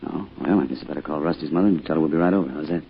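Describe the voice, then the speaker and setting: An American actor playing a kindly, gentle bereaved father in a 1940s radio drama, speaking in a hesitant, folksy way. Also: extremely low pitch, very fast, vocal fry, breathy edge, exaggerated voice. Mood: friendly, welcoming. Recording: tinny old radio broadcast, faint music.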